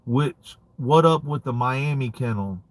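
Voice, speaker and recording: A man's voice: medium pitch, a little slow, no room sound. A man in his late thirties, a dog breeder hosting a casual livestream and answering viewer comments in laid-back street slang. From United States. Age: 30s.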